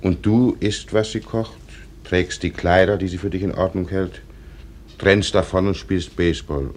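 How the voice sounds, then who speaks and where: An actor playing a middle-aged small-town doctor and father, measured and gently firm, in a radio drama. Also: low voice, steady tone. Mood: gently chiding. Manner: measured, paternal, gently chiding. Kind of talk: German stage-play radio drama acting.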